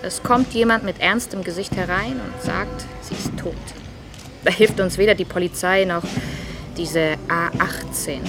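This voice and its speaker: A woman's voice: lowish voice, unhurried. An actress playing a frank, informal, chatty woman friend in a conversational radio drama style. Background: music.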